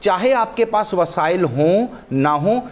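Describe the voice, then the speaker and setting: High-pitched Pakistani man, emphatic speaker. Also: expressive, takes it slowly. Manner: emphatic, rhetorical, builds points through repetition. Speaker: guest researcher and commentator. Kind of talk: impassioned religious and historical commentary on a TV talk show.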